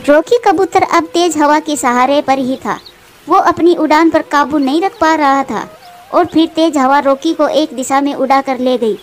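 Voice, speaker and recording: A woman's voice: high-pitched, moderate pace. A woman narrator reading a scripted children's moral story for a cartoon.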